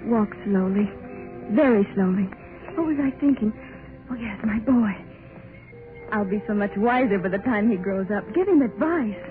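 An actress playing an anxious expectant mother in a 1930s radio drama, speaking in a halting, dreamy inner monologue. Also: mid-range voice, lively intonation, natural voice. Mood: dreamy, brooding. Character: anxious expectant mother, brooding over her unborn child's future. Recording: tinny 1930s radio broadcast with music.

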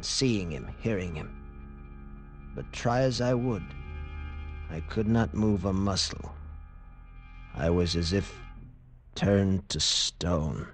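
A man acting in a 1940s radio drama as a tough lieutenant recounting a frightening ordeal in an earnest, intense way. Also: low-pitched. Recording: noisy vintage radio recording.

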